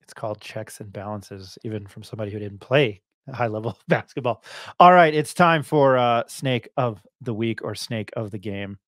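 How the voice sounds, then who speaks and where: A man's voice: lowish voice, normal speed, no echo. A middle-aged man hosting a casual sports podcast with irreverent, slangy banter, speaking close on a podcast mic. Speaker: podcast host. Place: home studio, indoors. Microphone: close podcast mic on boom arm.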